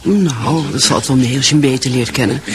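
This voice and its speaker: A man's voice: medium pitch, brisk, crowd noise, natural voice. An actor in a radio drama playing an earnest, dutiful wife and mother, hesitant, searching and sincere. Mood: wistful, confiding.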